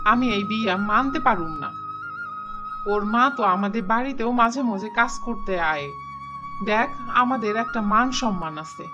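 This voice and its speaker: A woman's voice: a little high, close to an everyday voice. A woman playing a snobbish, indignant rich mother in a cartoon, scolding in a rural eastern Bengali dialect. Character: snobbish, scolding rich middle-aged mother, proud of status. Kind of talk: cartoon dialogue. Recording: dull, muffled audio under flute music.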